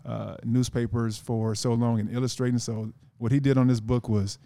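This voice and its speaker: A man's voice: medium pitch, little pitch movement, normal speed, vocal fry, dark tone, no room sound. A man guest on a casual podcast, talking earnestly in long rambling sentences with many restarts.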